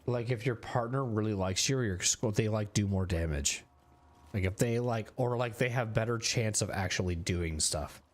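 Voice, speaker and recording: A man's voice: lowish voice, normal speed. A young man streaming a video game, chatting casually into a desk mic with a rambling, filler-heavy way of talking. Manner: rambling, lots of filler words. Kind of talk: casual gameplay commentary.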